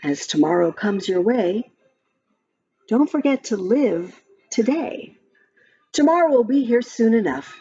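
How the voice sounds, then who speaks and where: Woman slowly reading a children's storybook. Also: fairly high pitch. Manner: expressive, sing-song delivery for small children. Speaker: storyteller reading a picture book aloud. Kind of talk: read-aloud children's storytelling with chatty asides about the pictures. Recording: slightly dull lo-fi, music behind. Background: music.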